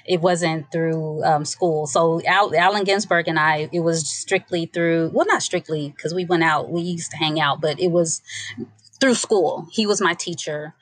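A woman's voice: sits low, fairly even intonation, unhurried, no room sound. A woman guest storyteller in a podcast interview, talking casually and unhurriedly with many fillers.

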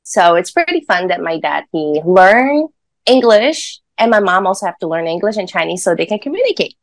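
A woman's voice: on the low side, very animated, normal speed, dry recording. A cheerful woman guest from Macau, recorded dry and close. She chats in an easygoing way and laughs often. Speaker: podcast guest. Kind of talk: casual interview chat.

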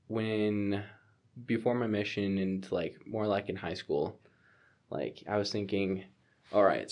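A man's voice: on the low side, a little mellow. A man in his twenties talking casually about his plans, rambling with many fillers and restarts. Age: 20s. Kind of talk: casual conversation.

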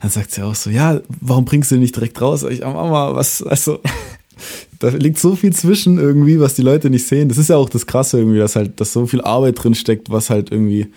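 A young man, a singer-songwriter, talking in a relaxed way with many fillers and a voice that trails off softly. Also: mid-range voice, a little fast. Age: young adult. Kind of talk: casual interview chat.

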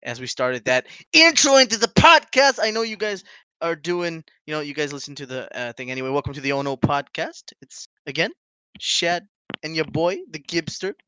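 A man's voice: a little high, very animated, moderate pace, sharp tone, clear, resonant tone, dry recording. A man in his twenties co-hosting a casual podcast, rambling with restarts, laughing often and swearing freely, English not his first language. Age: 20s. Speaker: podcast co-host.

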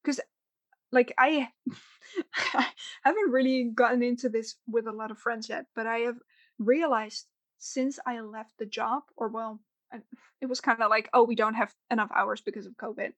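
A young woman chatting on a podcast, her voice a bit high and close to the mic. She rambles and restarts often. The sound is dry, with no room around it. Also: steady tone, moderate pace, fairly bright tone, no room sound. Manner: rambling, many fillers and restarts. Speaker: podcast co-host. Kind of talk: casual podcast chat.

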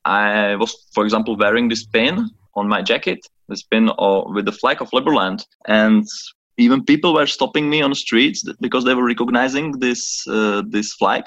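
A man's voice: mid-range voice, moderate pace, fairly bright tone, muffled recording. A man from the Republic, a podcast guest who talks hesitantly and searches for words. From Czech Republic. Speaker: guest, chief of staff. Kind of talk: podcast interview. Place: office in Prague, on a call.

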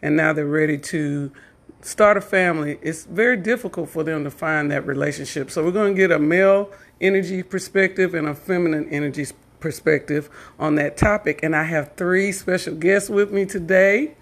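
A woman hosting a casual interview podcast, drawing out her guests and affirming them with quick okays. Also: low-pitched. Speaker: podcast host.

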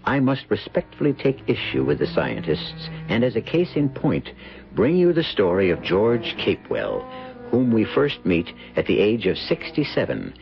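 A man's voice: fairly low pitch, wildly swooping pitch, moderate pace, creaky, soft background music. An American man hosting a radio drama, reading a scripted introduction in a theatrical, portentous manner. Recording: dull lo-fi radio recording.

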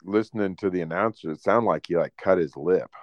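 A man's voice: low voice, fairly quick, dry recording, dull audio. A man co-hosting a casual sports podcast, easygoing and asking follow-up questions. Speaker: podcast co-host.

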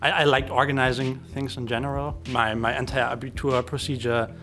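A man's voice: medium pitch, level tone, fairly bright tone, soft background music. Male teacher, German first language, thoughtful and careful answers. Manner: measured, hesitant with many fillers. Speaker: teacher being interviewed.

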